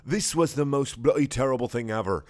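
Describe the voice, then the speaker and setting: A man voicing an excitable, anguished robot sidekick with a put-on British accent and theatrical delivery. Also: medium pitch, brisk, no echo, pitched above the performer's own voice, close to an everyday voice. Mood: bitter. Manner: melodramatic, theatrical.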